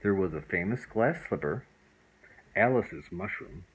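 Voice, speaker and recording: A man reading a children's bedtime story as a father, in a deliberate way with the odd stumble. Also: sits low, lively intonation, breathy edge, poor audio.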